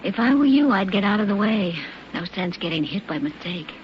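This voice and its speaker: Actress as doomed, gentle would-be starlet in her twenties, old-time radio drama. Medium female pitch, halting, wistful, heavy recording noise. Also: expressive, highs cut off, natural voice. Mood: resigned, sad. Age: 20s.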